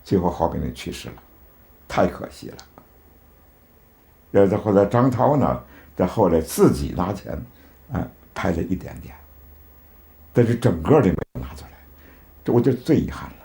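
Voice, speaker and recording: A male interviewee comments off the cuff in a low, creaky voice. The tone is dark and mellow, with lively intonation. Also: moderate pace, pauses often.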